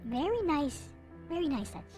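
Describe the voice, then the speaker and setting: A woman reading a visual novel aloud in an expressive, theatrical way, like a dramatic narrator. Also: high voice, moderate pace. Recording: music playing behind the voice. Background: visual novel soundtrack music.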